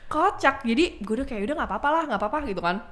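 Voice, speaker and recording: Fast-talking young Jakarta woman, chatty and slangy. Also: a little high, expressive, a bit bright. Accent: Jakarta Indonesian. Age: 20s. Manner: chatty, slangy storytelling with acted-out quotes. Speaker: podcast guest. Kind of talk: casual chat, storytelling.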